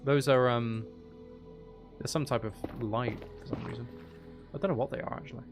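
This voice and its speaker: A man's voice: mid-range voice, steady tone, normal speed. A man giving casual gameplay commentary, muttering to himself as he thinks aloud while he plays. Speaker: gamer playing Minecraft. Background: background music.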